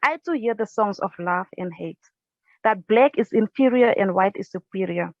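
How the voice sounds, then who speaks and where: A young woman from South Africa reciting her own spoken word poem at home on a couch, earnest and halting, with restarts, into an earphone mic. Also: medium pitch, dry recording. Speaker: poet. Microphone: earphone headset mic. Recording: dry, dull lo-fi sound.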